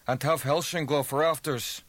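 A man voicing a burly, hard-drinking Danish spaceship crewman in an audiobook, loud, blunt and offhand, with a Danish accent. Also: a little high, steady tone, unhurried, dry recording, pitched above the performer's own voice. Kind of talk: audiobook character dialogue.

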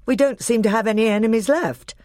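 A narrator playing a courteous, confident boy high king with brisk, well-mannered authority. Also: a little mellow, dry recording, studio quality, natural voice. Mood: composed, courteous.

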